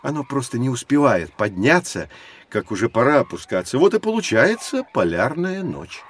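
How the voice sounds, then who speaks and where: An actor playing a knowledgeable adult guide who explains things to children in an educational audio drama, in a teacherly manner. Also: male voice, mid-range voice, sing-song, normal speed. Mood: patient explaining.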